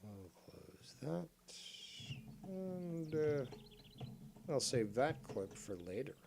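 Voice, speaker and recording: A man's voice: fairly high pitch, sing-song, very slow, creaky. A man livestreaming a game with rambling live commentary, theatrical and mock-villainous, crude and full of swearing. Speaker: livestreamer playing a fishing game.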